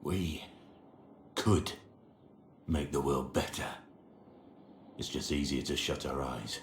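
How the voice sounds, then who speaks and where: An actor playing a brooding, guilt-ridden warrior in a video game, speaking heavily and deliberately in a gruff voice. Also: male voice, a bass voice, full character voice. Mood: bitter. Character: guilt-ridden gruff male warrior confessing his cowardice. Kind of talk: dramatic video game dialogue. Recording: echoey room, faint background noise.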